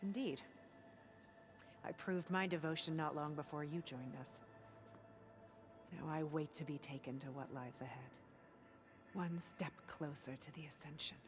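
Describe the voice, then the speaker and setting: A woman's voice: deep voice, a little slow, pauses often, music in the background. A woman playing an earnest, devout cult convert in a video game, a former marine who speaks reverently and a little hesitantly. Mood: proud, devout. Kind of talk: video game cutscene acting.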